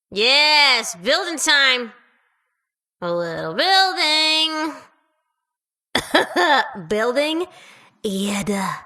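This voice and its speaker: A woman voicing an excitable, childlike, malfunctioning robot in a video game, babbling sillily and stammering in exclamations. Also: medium pitch, exaggerated voice. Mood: playful, scatterbrained. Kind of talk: video game character voicelines.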